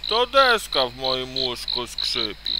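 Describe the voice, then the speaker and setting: A man voicing a grumpy, tired old grandpa from a storybook, grumbling and groaning slowly. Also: mid-range voice, big pitch swings, heavy background noise.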